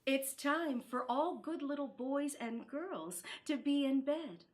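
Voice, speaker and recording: A woman voicing a gentle, wistful mother of two in a storybook read-aloud, soft and tender. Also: sits high, animated, slow pace, pitched above the performer's own voice.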